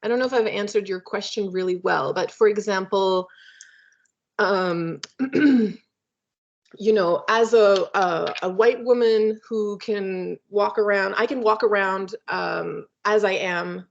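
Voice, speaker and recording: A woman presenting in a webinar, explaining ideas thoughtfully with frequent fillers and pauses. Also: medium pitch, fairly even intonation, gravelly. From Canada. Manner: thoughtful, hesitant, many fillers. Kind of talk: academic webinar talk. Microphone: video call. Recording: muffled lo-fi video call audio.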